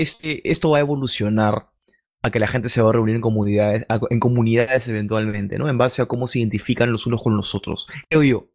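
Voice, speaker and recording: A man's voice: medium pitch, creaky. A man speaking as a returning podcast guest, explaining his views and often checking in with a questioning tag. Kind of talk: casual chat.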